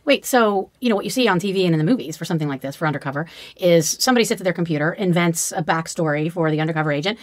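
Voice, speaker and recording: A woman co-host probes and recaps the story in casual talk. She speaks very quickly in a slightly low voice, close and dry. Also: animated, no room sound. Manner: quick probing questions and recaps. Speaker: podcast co-host. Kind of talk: casual interview conversation.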